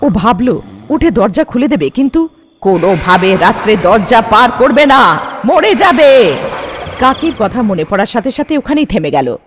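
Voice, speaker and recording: A man's voice: very high voice, big pitch swings, normal speed, fairly bright tone, slight background noise. A man giving dramatic scripted narration for a cartoon story.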